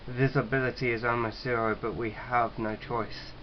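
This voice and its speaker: Man, medium pitch, halting read-aloud of game story, casual sweary asides, muffled lo-fi mic, slight background noise. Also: level tone. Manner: halting reader who stumbles and rereads. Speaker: gaming video host. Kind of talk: reading visual novel narration aloud with casual reactions.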